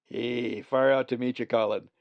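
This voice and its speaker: A man's voice: mid-range voice, fairly even intonation, moderate pace, creaky, dry recording, muffled recording, lightly acted. A man voicing a laid-back male raven with loose hippie slang.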